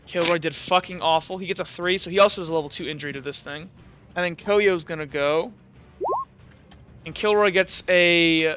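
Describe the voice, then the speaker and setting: A young man running a tabletop game as game master, talking casually, stumbling over rules and narrating gory combat. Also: high voice.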